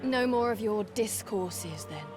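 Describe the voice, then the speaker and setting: A woman playing a proud, stern Valkyrie warrior in a fantasy video game, speaking in formal, measured phrases. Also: medium pitch, animated. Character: solemn, proud young female Valkyrie warrior, dutiful and stern. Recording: loud orchestral music behind voice.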